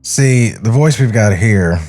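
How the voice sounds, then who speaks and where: A man playing an excitable young cartoon animator explaining his drawings emphatically in a comic script reading. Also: lowish voice, takes it slowly, faint music behind. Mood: insistent.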